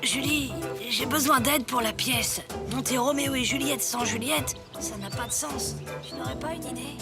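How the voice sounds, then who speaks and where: A friendly, boisterous young schoolboy in a cartoon. The voice is very high, boyish and earnest. Loud music runs behind it. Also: female voice, normal speed. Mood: worried. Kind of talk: French animated series dubbing.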